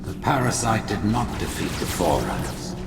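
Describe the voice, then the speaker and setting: A man's voice: fairly low pitch, expressive, normal speed. A man playing an elderly alien religious leader in a video game, pompous and zealous, preaching like an orator. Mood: zealous, grandiose. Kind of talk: grand video game sermon acting. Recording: echoing voice under loud music.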